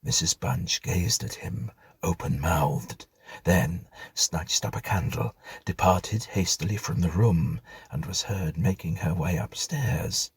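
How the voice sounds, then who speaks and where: Guy reading a spooky old story, deep n really breathy-husky, measured formal narrator. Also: no room sound. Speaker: audiobook narrator. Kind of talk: scripted literary narration of a ghost story.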